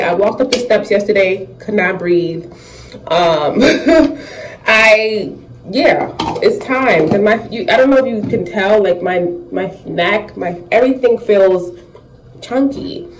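A young woman vlogging casually into a phone at arm's length, animated and exasperated, swearing freely, first in a room at home and then sitting in a car. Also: mid-range voice, husky, poor audio. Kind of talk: casual vlog chat and rant. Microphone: phone camera at arm's length.

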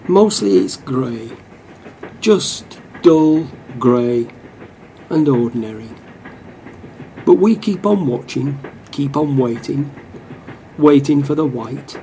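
A man's voice: mid-range voice, lively intonation, slow pace, vocal fry, long pauses, dark tone, poor audio. A man playing a wistful, plain-spoken football supporter in a radio play monologue. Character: wistful male football supporter narrating a train ride home. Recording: train noise behind the voice.

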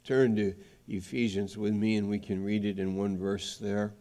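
A man's voice: fairly low pitch, little pitch movement, normal speed, mellow. A middle-aged male pastor preaching a sermon in a large church, explaining points carefully and often restarting a phrase. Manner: explanatory, restarts mid-phrase, gestures broadly. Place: large church sanctuary, indoors. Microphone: headset mic.

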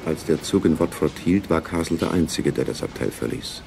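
A man narrating a scripted radio spy drama in a composed, gravelly voice. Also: a bass voice, lively intonation, a little fast, noisy background, full character voice. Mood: measured. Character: composed male narrator of a Cold War spy story.

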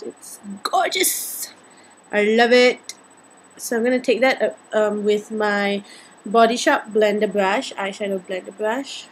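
Casting: young Singaporean woman, slightly high husky voice, chatty, playful beauty vlogger in casual tutorial mode. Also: poor audio. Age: 20s. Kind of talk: casual makeup tutorial chat. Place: indoors, small home room.

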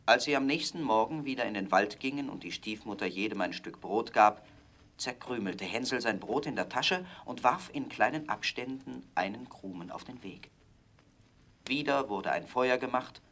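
Lebhafter Märchenerzähler mit rauer Stimme. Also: male voice, mid-range voice. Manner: expressive storytelling. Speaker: narrator. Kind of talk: scripted fairy-tale narration for children.

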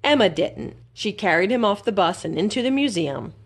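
A woman reading a children's story aloud as a library storyteller, in an expressive, simple storytelling manner. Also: medium pitch, moderate pace.

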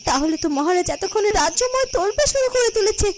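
A woman's voice: very high voice, expressive, quick pace, background noise, pitched below the performer's own voice. A woman voicing a righteous shapeshifting snake woman in a fairy-tale cartoon, dramatic and emphatic. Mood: anxious.

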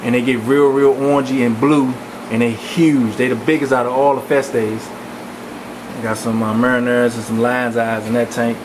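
A man giving a relaxed, rambling walk-through of his home aquarium room, speaking casually with many fillers into a handheld camera. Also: medium pitch, moderate pace. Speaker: fish breeder giving a tour. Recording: camcorder audio with fan hum.

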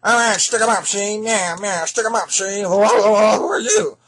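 A man's voice: high voice, normal speed, fairly bright tone. A man voicing a cocky small-time gangster villain who talks like a wiseguy with repeated tag words. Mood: menacing, cocky.

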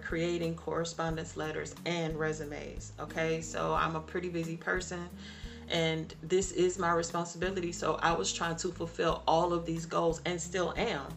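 A woman in her forties talking casually to the camera in her home kitchen, emphatic and repeating words for stress. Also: deep voice. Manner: emphatic, repeats for stress, talks directly to viewers. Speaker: vlogger. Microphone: camera or phone in front of her.

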